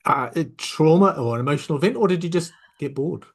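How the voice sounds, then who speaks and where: A man hosting a casual podcast interview, relaxed with some hesitant restarts. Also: on the high side, brisk. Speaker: podcast host.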